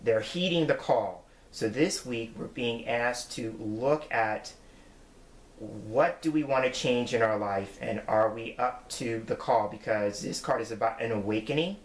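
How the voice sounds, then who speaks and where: A man's voice: mid-range voice, a little slow. A man in his forties, a tarot reader, giving his weekly forecast in an earnest, reflective way as he explains each card. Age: 40s. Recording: roomy echo.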